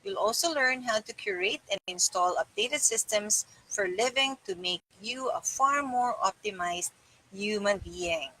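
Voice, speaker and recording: Middle aged lady reading a self help book out loud, bright forceful voice, medium pitch. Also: expressive, unhurried, low-quality recording. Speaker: reader. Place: small plain-walled room indoors.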